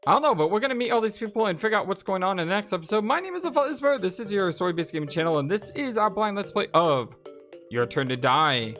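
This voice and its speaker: A woman's voice: medium pitch, sing-song, fast talker, fairly bright tone. A woman hosting a let's play, switching between reading game narration aloud and casual commentary. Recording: game music behind. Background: video game music.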